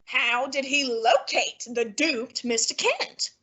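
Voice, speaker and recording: A woman's voice: sits high, expressive, takes it slowly, bright, forceful tone, breathy edge, exaggerated voice. A woman playing a pompous, heavyset older male detective in an old-time radio drama, speaking with haughty, fussy, lofty diction. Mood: imperious.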